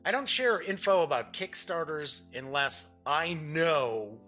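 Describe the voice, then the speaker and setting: A man's voice: fairly high pitch, lively intonation, slow, sharp tone, soft background music. A man hosting an online gaming show, talking casually to viewers in a folksy, chatty, rambling way.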